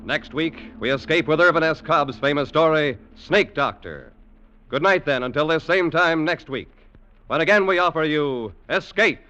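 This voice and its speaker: A man's voice: a little high, lively intonation, normal speed, heavy background noise. A man reading 1940s radio announcements in a formal, polished manner. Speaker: program announcer. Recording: muffled old radio broadcast. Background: loud orchestral music.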